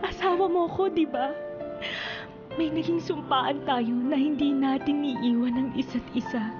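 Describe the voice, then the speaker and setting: An actress playing a devoted, long-suffering, heartbroken wife in a Filipino radio melodrama, with a Filipino accent and an earnest, emotional delivery. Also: female voice, sits high, a little slow, highs cut off. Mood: resolute, tender. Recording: music under the dialogue.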